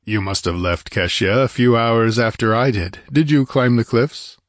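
A massive barbarian warrior barks questions with rumbling contempt, as a male reader voices him. His voice sits at an ordinary middle pitch, close and dry. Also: moderate pace, no room sound, natural voice. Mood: scornful. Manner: rumbling, scornful, demanding.

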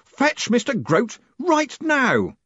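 Guy playing a wry exasperated boss in an audiobook, quick-witted, pitch jumps about a lot. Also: huge pitch swings, breathy edge, no echo, highs cut off. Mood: alarmed. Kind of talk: audiobook character voice.

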